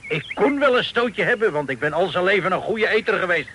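A man's voice: sits high, lively intonation, fairly quick. A man acting a talkative, folksy Dutch sea captain of forty-five in an old radio play. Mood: storytelling. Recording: old radio recording with hiss.

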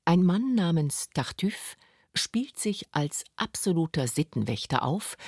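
Very deep, husky female documentary narrator. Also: a little mellow, no echo, clean studio sound. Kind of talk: scripted radio documentary narration.

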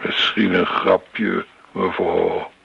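Radio play role: courteous, nosy waiter, extremely slow delivery, dull sound. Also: male voice, sits low, little pitch movement, gravelly, slightly rough, full character voice. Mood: curious, suspicious. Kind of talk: radio drama acting. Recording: dull, noisy old radio recording.

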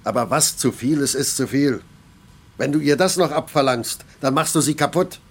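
An actor playing a blunt, sarcastic middle-aged factory master in a German radio play, gruff and colloquial. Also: male voice, medium pitch, moderate pace. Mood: reproachful, blunt. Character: grumbling, blunt older factory master, male.

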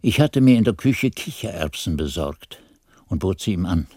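An actor playing a monk who recounts his memories in measured, expressive radio drama narration. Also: deep voice, no echo, clean studio sound. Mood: measured, intrigued.

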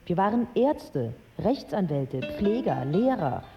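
Young iraqi woman telling her story in a radio play, low earnest voice, music behind, bit dull sound. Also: big pitch swings, slow pace, heavily acted. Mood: proud. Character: young Iraqi woman from Baghdad, educated, candid, resolute. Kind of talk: first-person radio drama narration.

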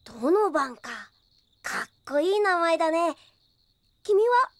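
A woman playing a cheeky, excitable, chatty young boy in an audio drama, eager and boyish. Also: very high-pitched, unhurried, dry recording, full character voice. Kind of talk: Japanese audio drama acting.

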